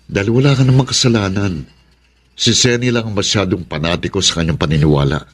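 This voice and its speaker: Earnest, exasperated Filipino fiancé. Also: male voice, lowish voice, a little fast, slightly rough. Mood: subdued, weary. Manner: earnest, reasoning. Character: reasonable, exasperated fiancé pleading with stubborn bride. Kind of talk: Filipino radio drama acting.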